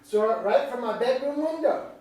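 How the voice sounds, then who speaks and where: A man acts a plain-spoken country farmer with a very high, mellow voice. The reading sounds distant and echoes heavily. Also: expressive, normal speed. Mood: reminiscing. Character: plain-spoken male farmer reminiscing with neighbor. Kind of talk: staged play reading. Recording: very echoey room from far off.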